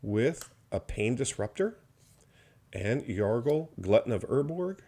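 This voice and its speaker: A slightly hoarse man opening trading card packs, naming each card in a steady listing commentary with mild enthusiasm. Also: medium pitch, slow pace. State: slightly hoarse, recovering from illness. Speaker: card box opener. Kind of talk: unboxing commentary.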